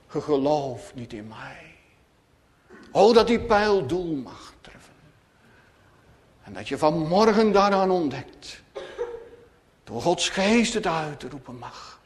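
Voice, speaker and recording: Male preacher, slow solemn delivery, dramatic swinging intonation, pleading sermon. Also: high-pitched. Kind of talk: emotional Reformed church sermon. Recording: long church-like hall reverb.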